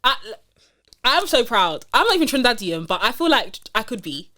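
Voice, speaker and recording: Woman, podcast co-host, animated and quick to laugh. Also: a little high. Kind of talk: casual chat.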